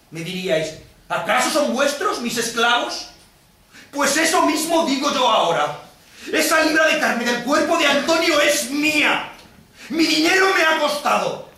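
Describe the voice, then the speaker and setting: A man's voice: on the high side, bright, forceful tone. A male stage actor playing a bitter, vengeful Venetian moneylender in a declamatory theatrical monologue, aggrieved and defiant. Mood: scornful, reasoning. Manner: declamatory, rhetorical questioning. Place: theatre stage, recorded from a distance. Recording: echoey theatre, distant mic, noisy.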